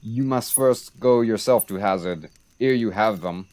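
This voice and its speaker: A man's voice: fairly low pitch, measured pace, a little mellow, lightly acted. A young man acting a sardonic French military commander in a Shakespeare radio play, with a dry, mocking delivery. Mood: dry needling. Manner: dry, wry.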